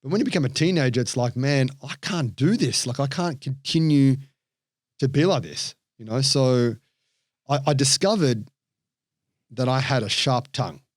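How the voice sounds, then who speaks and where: A man with an Australian accent, a podcast guest and coach, telling stories in a lively way and acting out the voices of people he quotes. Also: mid-range voice, normal speed, no room sound, clean studio sound. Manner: animated storyteller, acts out quoted voices. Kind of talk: storytelling interview chat.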